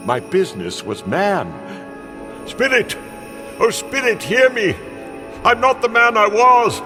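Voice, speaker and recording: Pleading old miser, swooping dramatic voice. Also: male voice, sits high. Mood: desperate repentance. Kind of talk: theatrical radio-play acting. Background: music.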